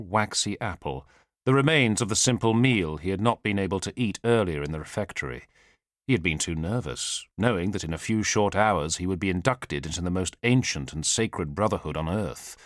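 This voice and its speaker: A man reading a thriller audiobook as its narrator, in a measured, solemn, suspenseful way with weighted pauses. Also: deep voice, animated, no room sound, studio quality.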